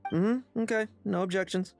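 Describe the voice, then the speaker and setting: A woman's voice: mid-range voice, steady tone, normal speed, creaky, a little mellow, long reverb tail, soft background music, natural voice. A woman playing a cheeky, evasive young knight in a game, with a breezy, glib manner. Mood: flippant. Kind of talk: game voice acting.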